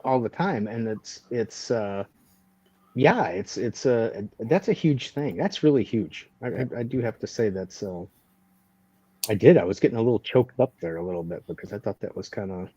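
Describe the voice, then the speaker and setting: A man's voice: on the low side, lively intonation, moderate pace, mellow, breathy edge, no room sound. A man co-hosting a casual barbecue live stream, rambling and joking as he repeats phrases and makes announcements. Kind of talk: casual banter and show announcements. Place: video call on a live stream. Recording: slightly dull lo-fi stream audio.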